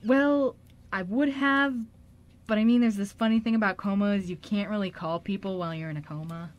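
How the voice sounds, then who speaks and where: A woman's voice: mid-range voice, normal speed, dull audio, natural voice. A woman playing a sweet, boyish, apologetic man, hesitant and earnest, in an amateur radio drama. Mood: cheerful.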